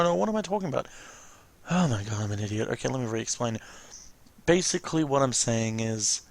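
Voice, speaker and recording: Gravelly man doing casual reaction commentary. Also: medium pitch, fairly bright tone. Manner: rambling, self-correcting, exclamatory. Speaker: let's watch host. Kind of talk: casual reaction commentary, reading text aloud.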